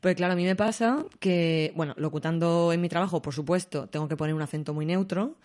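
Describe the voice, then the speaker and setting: A woman's voice: on the low side, fast talker, a little mellow. A woman with an Andalusian accent who works as a news announcer, talking candidly in a casual discussion. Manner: candid, laughs easily, hesitant fillers.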